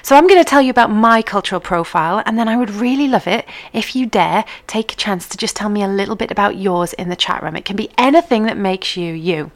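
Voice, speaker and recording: A woman's voice: medium pitch, expressive, fast, few pauses. A woman from England with a mixed northern English accent, hosting a casual livestream and chatting directly to her viewers in an upbeat way. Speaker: online line dancing group host.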